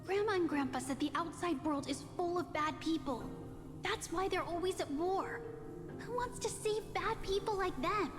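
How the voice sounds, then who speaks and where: A woman's voice: extremely high pitch, normal speed. A woman voicing an innocent, earnest little village boy in a video game, with a childlike manner. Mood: troubled, reluctant. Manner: childlike, eager, hesitant. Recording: reverberant hall, music underneath. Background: loud music.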